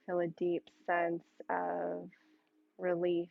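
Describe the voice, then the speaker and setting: A woman yoga instructor leading a guided meditation calmly and soothingly, in short phrases with long pauses, on a muffled recording. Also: lowish voice, creaky, no room sound.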